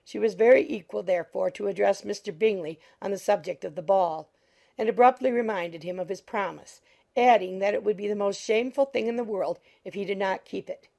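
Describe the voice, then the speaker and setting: Creaky-voiced woman narrating an audiobook. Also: medium pitch, brisk, dark tone. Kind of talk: scripted literary narration.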